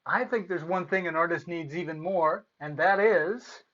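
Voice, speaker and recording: A man's voice: on the high side, normal speed, fairly bright tone, highs cut off. A man presenting and reading aloud for children as a storyteller, playful and theatrical.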